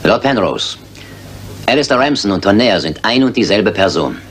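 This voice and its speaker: An actor playing a shrewd, composed detective in a German radio crime drama, speaking precisely and with authority. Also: male voice, on the low side, very animated, moderate pace, a bit bright, soft background music. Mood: grave, certain. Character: shrewd, composed male detective, coolly certain. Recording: older radio play with background noise.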